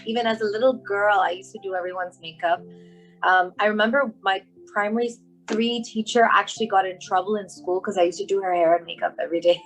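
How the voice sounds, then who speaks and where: A woman's voice: medium pitch, fairly bright tone, poor audio. A young woman from Hong Kong, a makeup artist and brand founder, talking casually as a guest in an interview and laughing often. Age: young adult.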